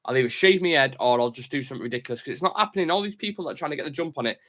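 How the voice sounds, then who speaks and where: A man's voice: medium pitch, lively intonation, very fast, fairly bright tone. A man hosting a football fan live stream, chatting casually and reading out viewer comments, blunt and opinionated. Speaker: host of a football fan show.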